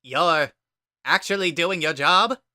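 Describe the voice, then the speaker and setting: Story reading: proud younger-brother skeleton, exuberant and emphatic, pitched high and very loud. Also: male voice, slow, no echo, studio quality, full character voice. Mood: proud, excited. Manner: emphatic, declamatory, earnest. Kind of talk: dramatic reading of a fan story.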